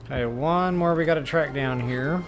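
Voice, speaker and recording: A man's voice: fairly high pitch, normal speed. A man streaming a video game, giving casual, chatty and rambling gameplay commentary. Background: game music.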